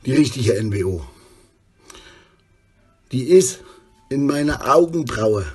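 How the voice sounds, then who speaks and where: A middle-aged man with a husky voice, rambling disjointedly in a selfie monologue recorded indoors on a handheld phone. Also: mid-range voice, lively intonation, slow pace, darkish tone. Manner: rambling, disjointed, often tags sentences with questions. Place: small room indoors. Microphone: phone held at arm's length.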